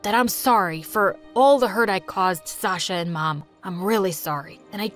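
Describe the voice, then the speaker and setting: A woman voicing a sullen, resentful teenage boy who talks in a low, scornful way. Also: moderate pace, soft background music, close to an everyday voice. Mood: resolute. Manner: bitter, scornful, low-voiced.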